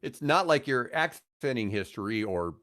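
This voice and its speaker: An older man with an American accent, telling rambling, animated anecdotes casually as a radio veteran on a podcast. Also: medium pitch, moderate pace. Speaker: radio host and guest.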